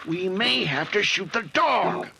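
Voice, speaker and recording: An actor playing a rough, wary outlaw gunman in an old-time radio adventure, giving curt, menacing orders. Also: high voice, expressive, a little slow, crowd noise, full character voice. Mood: wary, hushed urgency. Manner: clipped, gruff, menacing orders.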